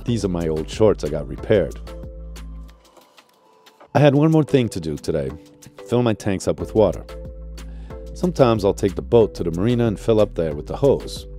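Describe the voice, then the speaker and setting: A man narrating his sailing vlog in a calm, reflective voice-over. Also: deep voice, moderate pace, long pauses, faint music behind.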